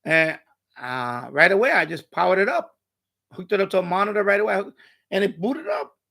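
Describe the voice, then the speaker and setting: A man hosting a casual live stream, rambling and repeating himself in halting, unscripted sentences. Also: high-pitched, lively intonation, gravelly, pushed and bright. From New York City, USA.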